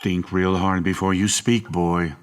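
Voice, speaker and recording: An actor playing a menacing, condescending police interrogator in an audio horror drama, with a sardonic, deliberate and bullying manner. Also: male voice, low-pitched, little pitch movement, smooth. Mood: pressing, cold.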